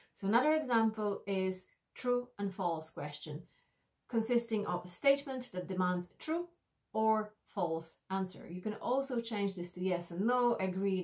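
A woman's voice: medium pitch, a little mellow. Woman explaining in an instructional tutorial. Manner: explanatory, addressing the viewer directly. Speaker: e-learning instructor.

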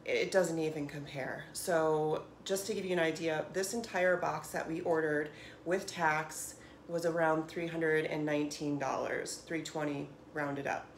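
A woman's voice: low voice, steady tone, measured pace. An American woman around forty chats enthusiastically and emphatically to camera, like a home cook showing off her groceries in a casual vlog. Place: small home kitchen, indoors. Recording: clearly noisy home room recording.

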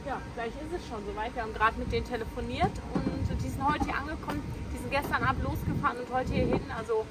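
A woman's voice: high-pitched, fast, rough recording. A woman in her thirties, a family vlogger, chatting casually to a handheld camera with many hesitations. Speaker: vlogger, mother travelling in a camper. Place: playground outdoors, then inside a camper van.

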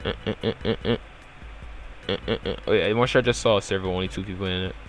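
A man's voice: deep voice, steady tone, fast, soft background music. A young man with a Bajan accent, chatting casually on a live game stream and answering his chat in loose, slangy banter. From Barbados. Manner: laid-back slangy banter, trailing off into mumbled fillers. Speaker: gaming livestreamer.

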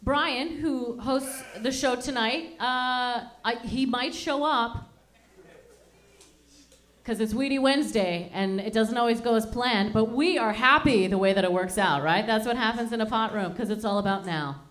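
Canadian lady in her 30s doing standup, high voice, chatty and rambly, handheld mic in a small club. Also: measured pace, fairly bright tone. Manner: chatty, rambling, self-mocking. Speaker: stand-up comedian and MC. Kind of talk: stand-up comedy set. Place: small dark lounge with a live audience.

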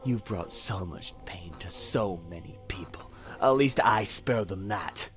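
A man's voice: sits low, animated, faint music behind. An actor playing a sinister, self-righteous serial killer in an audio drama, taunting and theatrical as he philosophizes. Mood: taunting, menacing. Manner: theatrical, deliberate, taunting menace with whispered dips. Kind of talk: audio drama villain monologue.

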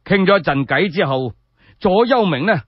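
A man with a Cantonese accent telling a story in an expressive, colloquial radio storytelling style. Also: high voice, normal speed, no echo, lo-fi sound. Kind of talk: animated solo radio storytelling.

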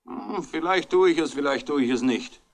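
An actor playing a suspicious, belligerent young tough who threatens people in a 1960s German radio crime drama. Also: male voice, a little high, normal speed. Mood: threatening. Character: belligerent, suspicious male thug making threats.

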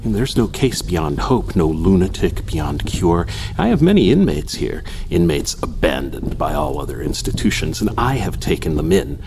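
An actor playing an unctuous, eccentric asylum doctor in a gothic radio drama, speaking with formal, theatrical courtesy. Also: male voice, sits low, big pitch swings, moderate pace, vocal fry, hardly any pauses, background noise, full character voice. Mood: grandly welcoming.

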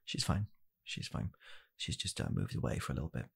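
A young man playing a blunt, callous father in an ASMR comedy roleplay, speaking softly and haltingly in a deadpan way. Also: lightly acted. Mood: awkward, grave. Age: young adult. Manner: soft-spoken, halting, deadpan. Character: blunt, callous father calmly blaming his child. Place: small home room, indoors.

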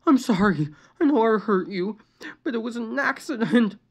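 A man's voice: high voice, animated, moderate pace, voice pushed up. A man voicing a remorseful teenage girl who stammers through tearful apologies. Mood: tearful pleading.

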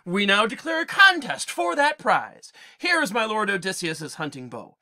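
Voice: mid-range voice, huge pitch swings, moderate pace, pushed and bright, voice pushed up, exaggerated voice. A teacher reading aloud as a proud, grieving queen addressing her suitors, in a declamatory way. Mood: defiant.

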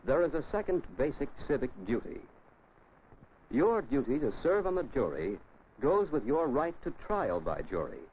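An American man narrates a 1950s instructional film, teaching the listener directly. His intonation moves up and down in a lively way. The soundtrack is dull and clearly noisy. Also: mid-range voice, normal speed. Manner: didactic, addresses the listener directly.

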